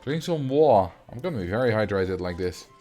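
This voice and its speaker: A man's voice: fairly low pitch, lively intonation, fairly quick, dark tone, soft background music. A Dutch man with a mild Dutch accent, chatting casually through a game livestream and answering his chat. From Netherlands. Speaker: streamer. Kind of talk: casual livestream commentary while playing a game.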